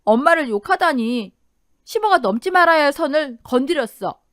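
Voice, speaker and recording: Woman acting a high-voiced, plucky grown daughter. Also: animated, moderate pace, a bit bright, no echo, clean studio sound. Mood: indignant. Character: plucky adult daughter, bright, high-voiced and defiantly polite.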